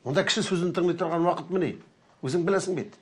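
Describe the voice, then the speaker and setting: A man's voice: sits high, fast, husky. An actor playing an older village grandfather who talks bluntly and teases his granddaughter in a TV sitcom. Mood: grave. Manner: blunt, reflective, teasing. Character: village grandfather, wry and gently teasing. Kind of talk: television sitcom acting.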